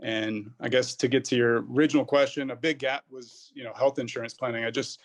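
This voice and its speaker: A man in his thirties answers a podcast host's questions in a relaxed, rambling way. His voice sits at a middling pitch, and he often circles back to rephrase his thoughts. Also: brisk. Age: 30s. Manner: rambling, many fillers and restarts. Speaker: podcast guest, pharmacist. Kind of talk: casual interview answers.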